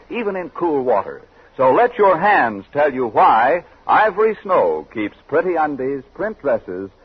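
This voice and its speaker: A man's voice: a little high, slightly rough, highs cut off. A man working as a commercial announcer, reading a 1940s radio advertisement.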